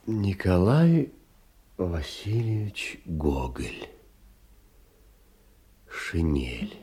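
An actor playing a solemn narrator in an audio drama, announcing a classic tale in a gravelly, husky voice. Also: male voice, low-pitched, sing-song, drawn-out words, dark tone, heavily acted. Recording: music under the voice. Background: music.

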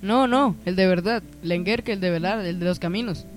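A man's voice: high voice, fairly quick, faint music behind, close to an everyday voice. A Colombian man playing an earnest, slangy young friend in an amateur radio drama, colloquial and insistent.

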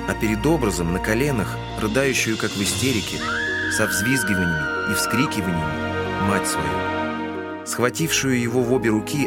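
A man narrating a literary audio drama in a measured, solemn and expressive manner. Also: lowish voice. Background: prominent music.

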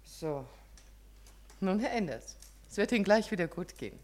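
A woman's voice: medium pitch, lively intonation, moderate pace, a little mellow, a little hiss or hum, close to an everyday voice. An actress playing a caring, composed woman helper in a 1950s German radio drama, speaking politely and soothingly. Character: caring, composed unmarried woman giving nursing help.

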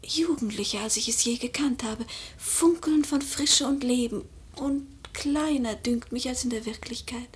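An actress playing an imperious, troubled noble widow in a classical radio drama, haughty and dramatic. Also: female voice, on the high side, close to an everyday voice. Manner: haughty, dramatic, whispery at times.

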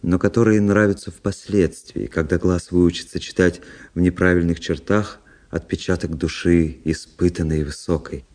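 An actor playing a cool, ironic, bored young officer in a radio play, speaking in a measured aristocratic way. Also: male voice, low voice, fairly even intonation, a little mellow, close to an everyday voice. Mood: reflective narration. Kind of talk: radio drama acting.

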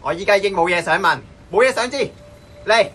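An actor playing a tipsy, brash young man in a Hong Kong Cantonese radio drama, loud and rambling. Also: sits high, fast, slight background noise. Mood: restless, flippant. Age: young adult.

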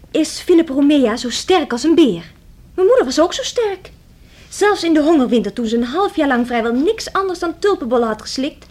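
A high voiced cheeky young woman, radio play, teasing, very lively intonation. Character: cheeky, restless, sardonic young Dutch woman. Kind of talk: radio drama acting.

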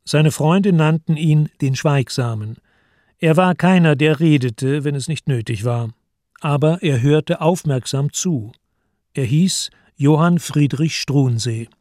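A man reading scripted literary narration for a radio play in a measured, matter-of-fact way. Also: a little high, a little mellow, no echo, clean studio sound.

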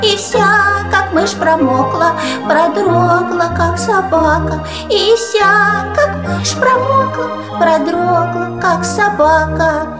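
A woman's voice: extremely high pitch, slow. A woman playing a frightened, shivering little kitten in a children's musical audio play, plaintive and singing. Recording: echoey, loud music behind.

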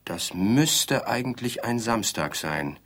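Husky, placid, defensive bridegroom. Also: male voice, medium pitch, lively intonation, slow, fairly bright tone. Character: placid, defensive bridegroom, absent-mindedly insisting on their happiness. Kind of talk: absurdist radio play dialogue.

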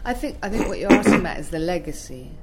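A woman's voice: fairly low pitch, expressive, fast talker, gravelly. A middle-aged woman talking earnestly as an interview guest, often restarting her sentences as she thinks. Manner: hesitant, many restarts, earnest. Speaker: arts curator, interview guest. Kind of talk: thoughtful conversational interview. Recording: faint background noise.

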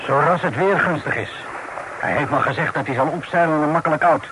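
An actor playing a shrewd, plain-spoken skipper in a radio drama, with a folksy, grumbling manner. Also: male voice, on the high side, a little fast, close to an everyday voice. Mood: grumbling, practical. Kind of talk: old radio drama acting. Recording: noisy old radio play recording.

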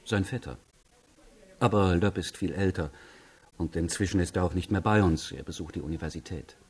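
A man playing a reserved schoolteacher in his thirties in a radio crime drama, answering in a measured, guarded way. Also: sits low, a little mellow, slightly rough. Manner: measured, restrained, short answers.

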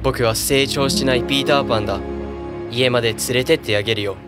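A man's voice: mid-range voice, quick pace, music in the background, lightly acted. A man voicing a brave, carefree boy hero with an eager, youthful manner in a children's storybook cartoon. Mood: cheerful.

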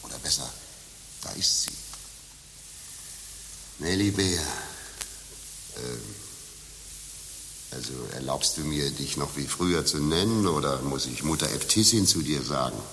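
An actor playing a sly, flattering old rogue in a German radio play, with a wry, theatrical manner. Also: male voice, a bass voice, unhurried, pauses often, full character voice. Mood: wry, secretive. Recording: long reverb, hissy background.

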